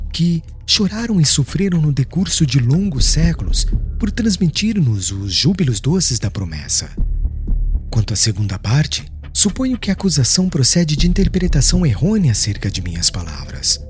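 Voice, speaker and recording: A man voicing a humble, devout preacher on trial in an audiobook, speaking in a firm, measured, formal way. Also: medium pitch, wildly swooping pitch, faint music behind. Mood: devout, calm. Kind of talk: audiobook character acting, formal speech.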